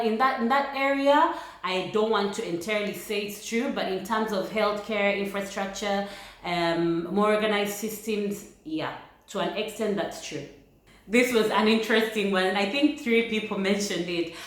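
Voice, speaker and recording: A woman from Uganda with a Ugandan accent, talking through points in a casual vlog in a measured, thoughtful way. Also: mid-range voice, fairly bright tone. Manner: thoughtful, measured, laughs lightly between points. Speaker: vlogger. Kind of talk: casual vlog talk to camera. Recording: very echoey room.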